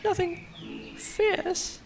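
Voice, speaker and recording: Piglet, a tiny squeaky anxious piglet. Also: flat delivery, extremely slow, vocal fry, noisy background, exaggerated voice. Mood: anxious, earnest. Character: small, timid, anxious young piglet.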